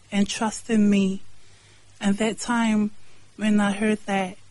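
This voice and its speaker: A woman from Samoa, raised in New Zealand, sharing her faith testimony as an interview guest in plain, halting sentences with short answers. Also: mid-range voice, darkish tone, no room sound, poor audio. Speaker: guest, hip-hop artist and evangelist. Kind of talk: earnest personal testimony.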